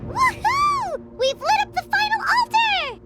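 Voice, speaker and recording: A woman's voice: very high voice, very animated, a little slow, sharp tone, full character voice. A woman voicing a tiny floating fairy guide in a video game, bubbly and chatty, who talks of herself by name. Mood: excited. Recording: game audio with music underneath.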